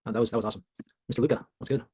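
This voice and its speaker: A man's voice: medium pitch, steady tone, racing, a little mellow, a little hiss or hum. A man born in China hosting a casual group workshop, enthusiastic and saying "like" a lot. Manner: enthusiastic, says "like" often. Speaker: workshop host.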